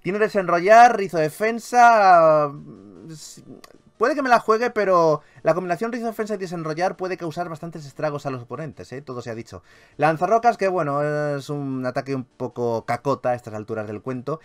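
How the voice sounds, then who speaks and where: A man's voice: fairly high pitch, moderate pace. A man with an accent from Spain doing casual game commentary as a YouTube host, enthusiastic, playfully grandiose and freely swearing. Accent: Spanish from Spain.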